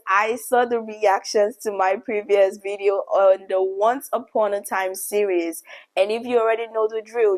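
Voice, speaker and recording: A young adult woman with a Nigerian accent, telling a childhood story in a chatty, animated way on her video channel, laughing often. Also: medium pitch. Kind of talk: casual story time vlog.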